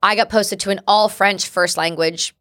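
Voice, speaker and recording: A woman's voice: mid-range voice, steady tone, moderate pace, bright, forceful tone. A Canadian woman in her thirties, a guest on a talk show, telling her own story in a blunt, vivid and emphatic way. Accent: Canadian English. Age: 30s. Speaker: guest, military veteran. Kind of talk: personal storytelling in conversation.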